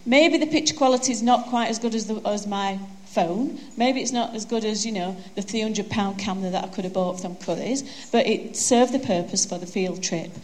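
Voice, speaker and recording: A woman's voice: medium pitch, a little fast, hardly any pauses, darkish tone. A woman with a broad Yorkshire accent giving a chatty, joking conference presentation on a handheld microphone. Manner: chatty, self-deprecating, joking.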